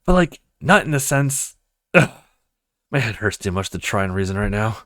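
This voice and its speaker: A man voicing a playful, affectionate young woman student in a visual novel, with a soft, teasing, casual delivery. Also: on the low side, big pitch swings, normal speed, dry recording, studio quality. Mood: sheepish.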